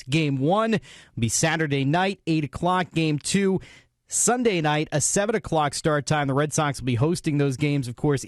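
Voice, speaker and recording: A man's voice: fairly high pitch, no room sound. A man anchoring a radio sports scoreboard update from a studio.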